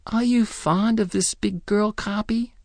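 A man reading aloud who voices an earnest small boy with soft, solemn questions. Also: sits high, fairly even intonation, a little slow, creaky, no echo, clean studio sound, pitched above the performer's own voice.

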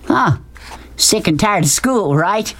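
An audiobook narrator voicing a large, loud Southern man, jovial and bossy, with a folksy, hollering manner. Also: medium pitch, animated, a little hiss or hum, pitched above the performer's own voice. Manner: hollering, folksy rural speech, rapid-fire questions. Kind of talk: audiobook character dialogue.

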